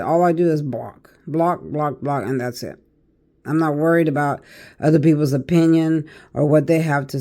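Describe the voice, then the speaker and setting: An older woman with a naturally raspy, hoarse voice, a tarot reader giving a casual, preachy monologue to camera and often checking in with "okay" and "all right.". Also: sits low, mellow.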